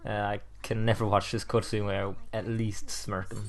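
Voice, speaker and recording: A man's voice: on the low side, level tone, normal speed, creaky. A man giving scripted but informal, enthusiastic commentary as the presenter of a top ten video.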